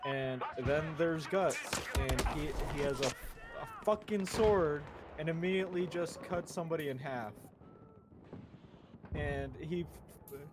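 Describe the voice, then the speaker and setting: A man casually retelling a story, halting with lots of fillers, restarts and swearing while he plays a game. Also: fairly high pitch, background noise. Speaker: storyteller summarizing a manga. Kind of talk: casual rambling story recap. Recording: loud video game gunfire behind voice. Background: game gunfire and explosions.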